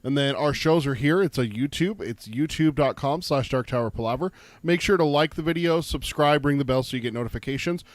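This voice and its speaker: A man co-hosting a casual podcast, listing links and social accounts in a brisk, matter-of-fact way, just getting over a cold. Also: medium pitch, dry recording. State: recovering from a cold, coughing. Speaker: podcast co-host.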